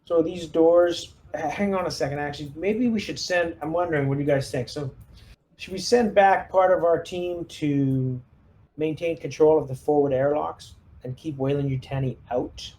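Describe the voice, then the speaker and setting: A man's voice: on the high side, normal speed, darkish tone. A man playing a tabletop roleplaying game, thinking aloud as he casually lays out squad tactics and checks in with the others. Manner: collaborative, thinks aloud, hesitant phrasing. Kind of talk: casual in-character tactical planning.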